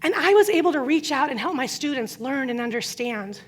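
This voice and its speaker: A middle-aged woman with a high voice, whose first language is Croatian, gives an earnest prepared talk about her life. She tells her story with emphatic pauses. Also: animated. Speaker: speaker.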